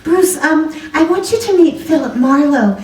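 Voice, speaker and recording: A gracious, anxious hostess in a radio play, played by a woman, with a high voice. She speaks politely and affectionately, set back from the mic in an echoey room. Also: expressive, moderate pace, darkish tone. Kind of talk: radio drama dialogue. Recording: roomy, distant microphone.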